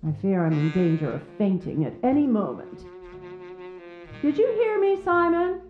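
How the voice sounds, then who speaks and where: A woman's voice: mid-range voice, sing-song, normal speed, phone-quality sound, faint music behind, natural voice. An actress playing a demanding, melodramatic, complaining middle-aged mother in a radio drama, nagging and querulous. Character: demanding, complaining, possessive mother of a grown son.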